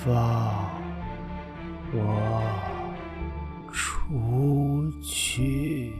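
A man acting a bewildered young prisoner trapped in time, halting and dramatic, in an audio drama. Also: mid-range voice, loud music behind the voice. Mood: dazed, desperate. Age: young adult.

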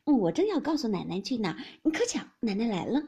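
Nervous young maidservant for a storybook, played by a woman: high, placating, lively delivery. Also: a little fast. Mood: eager, placating. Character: nervous, nimble young maidservant girl reporting to mistress. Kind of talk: dramatised audiobook of classic novel.

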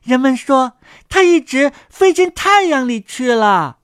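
A woman co-hosting a children's story programme, narrating a fairy tale in an expressive scripted style. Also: high voice, a little slow, dry recording, clean studio sound.

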